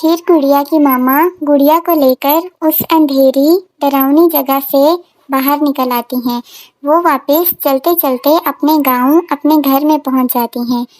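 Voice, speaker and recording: A woman tells a scripted story for children. Her pitch is high and her phrasing simple, in a dry recording without room sound. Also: steady tone, measured pace, darkish tone, no room sound, poor audio.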